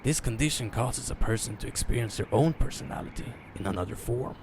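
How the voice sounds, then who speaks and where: A man narrating a story as a dramatic reading, theatrical in manner with deliberate pauses. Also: medium pitch, background noise.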